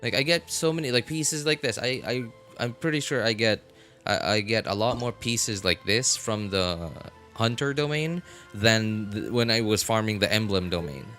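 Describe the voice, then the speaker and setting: Man, low voice, game streamer, casual commentary, halting and repetitive, music behind. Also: a bit bright. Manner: halting, repetitive, thinking aloud.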